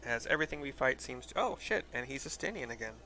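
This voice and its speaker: A man streaming a game, describing the scenes on screen in an informal, halting, descriptive play-by-play. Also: medium pitch, expressive, pushed and bright, rough recording. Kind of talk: casual play-by-play describing cutscene visuals. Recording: clear game noise behind voice.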